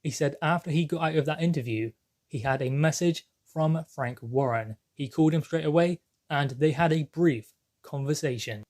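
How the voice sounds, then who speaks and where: Male sports vlogger, slightly high voice, emphatic explainer delivering news commentary. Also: normal speed, darkish tone. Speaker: boxing news channel presenter.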